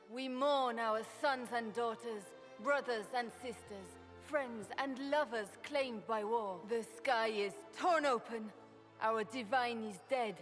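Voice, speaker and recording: A woman's voice: a little high, lightly acted. A woman playing a regal, solemn empress giving stately, formal oratory in a video game. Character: regal, solemn empress addressing her court. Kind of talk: dramatic video game acting. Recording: long hall reverb under music.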